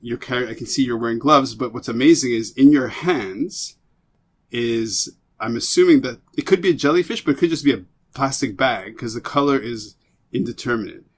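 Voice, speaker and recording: A man hosting a podcast interview, effusive and excitable, who stammers and rambles as he asks his questions. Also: mid-range voice, moderate pace, husky. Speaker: podcast host. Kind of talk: casual interview chat.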